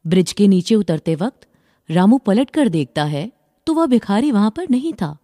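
A woman tells a children's bedtime story as a scripted narration. Her voice is mellow and medium in pitch, with lively intonation, recorded dry and close. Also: moderate pace, no echo.

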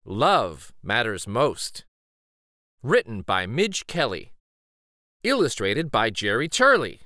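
A man in his forties reading a children's picture book aloud in an expressive, gentle storytelling way. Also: medium pitch, slow, dry recording, clean studio sound. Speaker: children's story reader and host.

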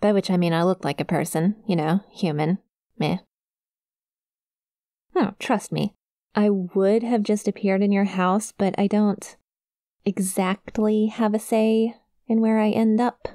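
A woman's voice: mid-range voice, steady tone, unhurried, pauses often, dark tone, no echo, studio quality, natural voice. A woman playing a friendly, awkward young succubus demon in an intimate ASMR roleplay, with a shy, casual, hesitant manner. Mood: chatty, awkward. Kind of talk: ASMR roleplay, intimate one-sided conversation to the listener.

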